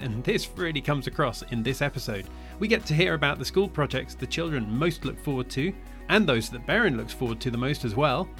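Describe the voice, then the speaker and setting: Upbeat podcast host guy, higher voice, faint music behind. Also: a bit bright. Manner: upbeat, scripted intro. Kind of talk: podcast interview hosting.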